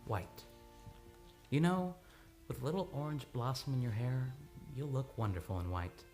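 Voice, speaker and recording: A man plays an adoring, tender male sweetheart in a romantic radio drama. His voice sits at a middle pitch. Music plays clearly behind him. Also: normal speed, darkish tone, close to an everyday voice. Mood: tender, teasing. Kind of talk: old-time radio drama acting.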